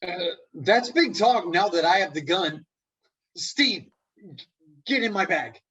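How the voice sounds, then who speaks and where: Man acting an awkward, husky-voiced hero. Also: sits high, lively intonation, a little slow, sharp tone, highs cut off, full character voice. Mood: cocky. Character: awkward, earnest reluctant hero Dave Dickens. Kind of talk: comedic script table read.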